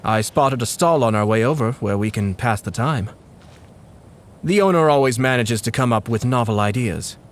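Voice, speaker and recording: A man playing a courteous, shrewd young nobleman in a video game, speaking in a formal, measured, polished way. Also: medium pitch, animated, a little hiss or hum, lightly acted. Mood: relaxed musing. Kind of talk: English video game voice acting. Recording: background music under the voice.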